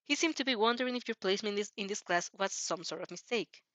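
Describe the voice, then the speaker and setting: Bright-voiced woman reading fan fiction aloud. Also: mid-range voice, fast, dry recording. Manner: giggly, often stumbles and rereads phrases. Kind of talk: informal story narration with reactions.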